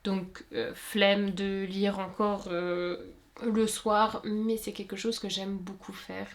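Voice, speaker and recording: A woman's voice: medium pitch, little pitch movement, slow. Chatty young woman. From Luxembourg. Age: 20s. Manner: chatty and rambling, many fillers and self-corrections. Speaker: YouTuber answering viewer questions. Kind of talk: casual chat.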